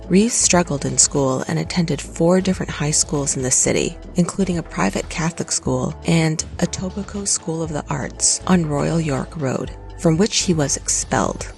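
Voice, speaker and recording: A narrator reading a scripted documentary in a steady, even way. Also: mid-range voice, creaky. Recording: light music underneath.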